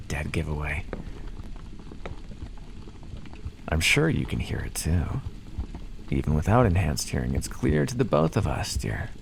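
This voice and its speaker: A man's voice: sits low, gravelly, a little mellow, slight background noise, full character voice. A man voicing a smug, playfully menacing vampire captor in an ASMR roleplay, speaking to the listener in a theatrical, condescending and teasing way. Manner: condescending, theatrical, teasing drawl with pet names.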